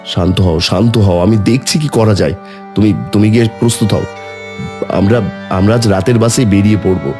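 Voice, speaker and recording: A man's voice: fairly low pitch, moderate pace, music in the background, lightly acted. A man playing an anxious, urgent young man in an audio horror story. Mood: urgent reassurance. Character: anxious young man fleeing a cursed apartment building.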